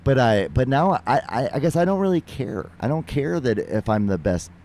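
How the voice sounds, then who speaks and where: A man from Minnesota, a musician guest, speaking modestly and haltingly with lots of restarts. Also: mid-range voice, very animated, a little mellow. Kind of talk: casual interview talk.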